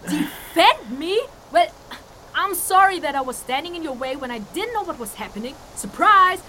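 A woman's voice: high voice, lively intonation, moderate pace, bright, forceful tone, slight background noise. A woman playing a sarcastic, exasperated young female outsider in a fantasy audio drama. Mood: sarcastic, outraged.

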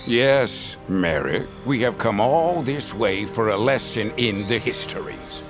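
An actor playing a stern, commanding elder of an animal tribe in a fantasy audio drama, speaking in grave, archaic phrases. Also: male voice, on the low side, animated, takes it slowly, over music. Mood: solemn.

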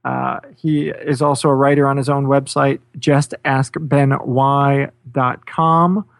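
A man's voice: medium pitch, level tone, takes it slowly, creaky, no echo. A man hosting a podcast, talking energetically with lots of fillers and repeated words. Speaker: podcast host.

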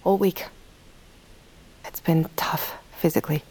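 An actress playing a weary, guarded captive woman, frank and insistent, hushed and urgent, in an audio drama. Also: low-pitched, fairly even intonation, a little slow, breathy edge, in a whisper, low-quality recording. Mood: frustrated. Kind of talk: scripted audio drama acting.

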